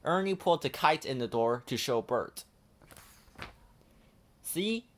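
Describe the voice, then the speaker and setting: A man narrating a children's picture book as an expressive read-aloud. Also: mid-range voice, moderate pace. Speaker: children's story reader. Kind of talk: expressive scripted storybook narration.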